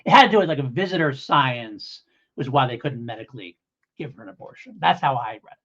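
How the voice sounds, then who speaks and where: A man's voice: medium pitch, animated, fast talker, fairly bright tone. Rambling man in casual review chat, slightly dull audio. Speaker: podcast co-host.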